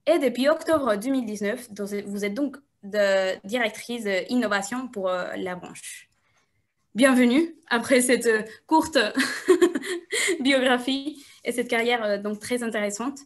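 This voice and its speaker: A woman interviewer at a live event, reading out a guest's career biography with frequent hesitations. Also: fairly high pitch. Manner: halting, frequent hesitation fillers.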